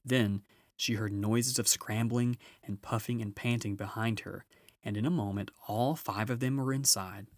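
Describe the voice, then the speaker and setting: A man's voice: on the low side, steady tone, moderate pace, no room sound, studio quality. A man narrating a children's storybook aloud as an audiobook reader.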